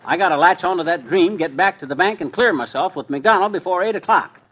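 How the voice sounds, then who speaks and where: A man playing an excitable, blustering husband in 1950s radio comedy, talking in folksy, indignant, sputtering slang. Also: sits high, fairly quick, highs cut off. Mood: dismissive.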